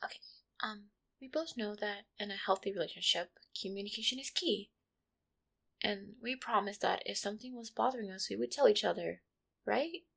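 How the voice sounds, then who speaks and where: A woman's voice: mid-range voice, normal speed, creaky, slightly rough. Woman acting a shy, affectionate catgirl girlfriend. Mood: tender, hesitant. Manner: hesitant, soft-hearted, flustered. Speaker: roleplay audio performer. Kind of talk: intimate one-sided roleplay audio acting.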